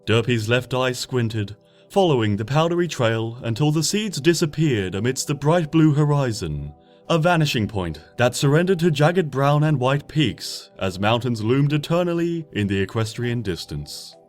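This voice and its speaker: A man narrates a fan story with a lively, swooping delivery, rising for the dramatic moments and sinking low and quiet for the tender ones. His voice sits at a middle pitch. Gentle music plays faintly underneath. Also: normal speed. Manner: lively, dramatic storytelling with soft, hushed passages. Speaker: fanfiction reader. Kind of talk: expressive audiobook narration. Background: soft background music.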